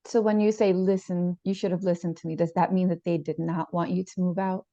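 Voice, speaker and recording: An actress playing a therapist, asking measured, gentle questions. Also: female voice, fairly low pitch, little pitch movement, dry recording, dull audio, natural voice. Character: calm, probing female therapist. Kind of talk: improvised dramatized therapy session.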